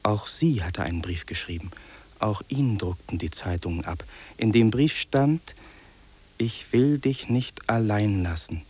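A lonely, jobless young dreamer in a 1950s radio play. His voice is low, dark and husky, anguished and earnest as he confides his despair. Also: lively intonation, unhurried. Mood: sombre, confiding.

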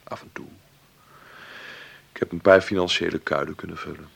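A sardonic, wounded brother with principles in a radio drama. The voice is deep, rough with heavy fry and husky, slipping into ironic muttered asides. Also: male voice, flat delivery, moderate pace, a bit bright, exaggerated voice. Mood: wry, bitter. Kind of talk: Dutch radio play acting.

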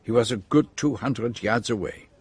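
A man's voice: mid-range voice, a little mellow, slightly rough. Methodical, theatrical male detective. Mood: measured, explanatory. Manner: deliberate, precise, dramatic pauses. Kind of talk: radio drama acting.

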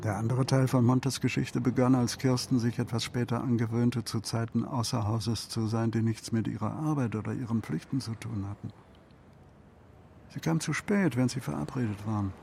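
An actor playing a reflective, melancholy storyteller, narrating in measured, broken phrases for a literary radio play. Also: male voice, medium pitch, steady tone, gravelly, a little mellow, slight background noise, close to an everyday voice. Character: melancholy male storyteller recounting a troubled couple's story.

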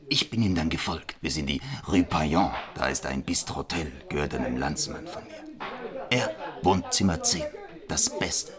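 Deep, wildly swooping shifty informant. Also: male voice. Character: shifty, greedy, nervous male Algerian informant. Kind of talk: German radio crime drama. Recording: bar crowd behind the voice. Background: busy bistro chatter.

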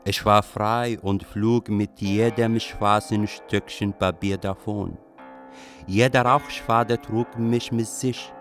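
A brooding young refugee yearning for freedom. The man's voice is very low and unhurried, telling a literary radio drama story over faint music. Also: fairly even intonation. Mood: quietly yearning.

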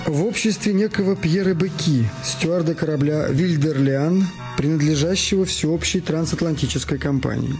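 A man narrates a radio crime drama in a fairly high male voice. Music plays clearly behind his scripted reading. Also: normal speed, a little mellow. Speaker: radio play narrator and actor.

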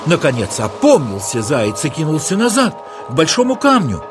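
A man narrating a children's story in a dramatic, expressive, exclamatory style. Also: a little high, normal speed, music in the background.

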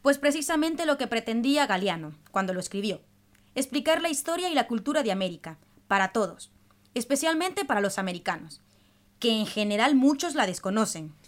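A woman with a fairly high voice presents on the radio. She explains in a teacherly manner, as if working from a prepared script. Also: very animated, a little fast. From El Salvador. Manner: explanatory, didactic. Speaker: radio programme host.

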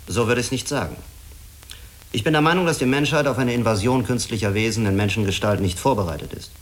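A man's voice: medium pitch, fast talker, natural voice. A man playing a blunt, wary spaceship commander in a German radio drama, direct and reserved in his manner. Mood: skeptical.

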